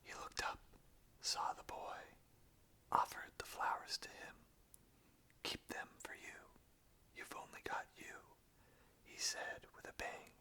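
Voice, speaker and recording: An ASMR host speaks entirely in a breathy whisper. The delivery is slow and hushed, with a crisp, bright edge to the airy sound. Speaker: ASMR host reading song lyrics aloud. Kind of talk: whispered ASMR, reading and casual chat.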